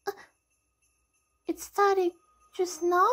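Lovestruck young hedgehog girl played by a woman, extremely high, slow, girlish and dramatic, music underneath. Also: level tone. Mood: sad, lonely. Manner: girlish, gushing, theatrical. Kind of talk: amateur comic dub voice acting.

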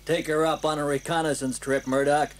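Male actor, unflappable team commander for audio drama. Dry, laconic delivery, voice a little high. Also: level tone, fairly quick, close to an everyday voice. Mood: commanding. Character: wry, unflappable male mercenary team leader. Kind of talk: 1980s children's audio drama acting.

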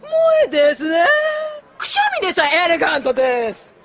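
A woman's voice: sits high, lively intonation, brisk, heavy background noise. A woman voicing a flamboyant, bossy fashion photographer pony in a dubbed cartoon, showy and using oddly broken grammar. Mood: showy, excited.

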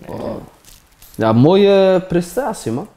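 A man's voice: sits high, big pitch swings, slow pace, mellow, a little hiss or hum. A man in his thirties, a barber hosting an interview while cutting hair, talking laid-back and streetwise, often saying "man". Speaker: barber and host. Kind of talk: casual interview chat.

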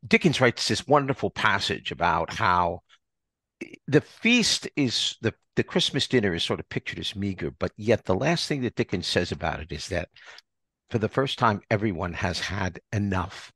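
A man hosting an arts podcast, talking in a halting, rambling way and circling back over his phrases. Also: on the low side, very animated, a bit bright, breathy edge, no echo. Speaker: podcast host and interviewer. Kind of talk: conversational arts interview.

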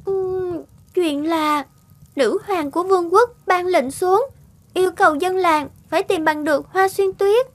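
A woman voicing a gentle, polite and timid little girl in a cartoon. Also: very high-pitched, unhurried. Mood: sad. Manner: polite, earnest. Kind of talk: cartoon voice acting. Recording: background music under the voice.

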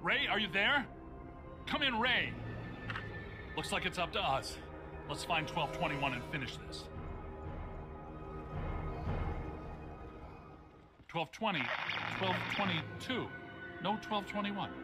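A bookish male scientist ghost hunter from a video game, with a slightly high voice. He is pedantic, precise and unflappable, delivering technical talk deadpan over loud music. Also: a little slow, long pauses. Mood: tense, urgent. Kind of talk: animated video game acting.

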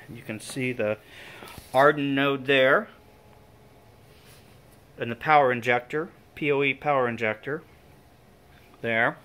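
A man's voice: mid-range voice, bright, forceful tone, clean tone. A man giving an informal, slightly hesitant hands-on equipment walkthrough, thinking aloud as he goes. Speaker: amateur radio hobbyist. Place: indoor room with equipment on folding tables. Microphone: handheld phone or camera he is holding.

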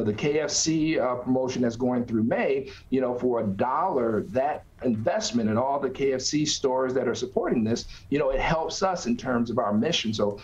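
A middle-aged man, a nonprofit director, answering interview questions conversationally over a video call from an office. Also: mid-range voice. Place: small office, indoors. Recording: slightly dull video-call audio.

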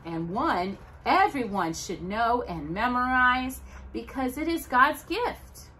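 A middle-aged woman hosting a children's storytime, reading aloud in an expressive, deliberate, dramatic way with emphatic pauses. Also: a little high, a little hiss or hum, poor audio. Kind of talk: expressive read-aloud of Bible verses and a children's Christmas book. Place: living room at home, indoors by a brick fireplace.

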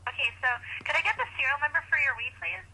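A woman with a high, bright voice handles a customer support call. She is polite and hesitant yet talks quickly, heard over a noisy speakerphone line. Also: animated, creaky. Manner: polite, hesitant, filler-heavy. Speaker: customer service agent.

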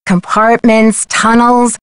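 Young female sleuth, earnest, inquisitive, articulate, fairly high pitch, dry video game dialogue. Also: little pitch movement, slow pace, smooth, dry recording. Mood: earnest, curious.

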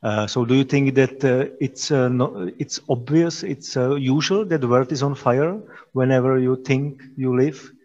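A man's voice: medium pitch, unhurried, hardly any pauses, a little mellow. A young man interviewing a guest over a headset, his midrange voice coming through muffled and lo-fi with a lingering reverb. He restarts his questions as he goes. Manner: hesitant, rephrases questions mid-sentence. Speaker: interviewer, masterclass moderator. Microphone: headset on a video call. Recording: dull, reverberant video call audio.

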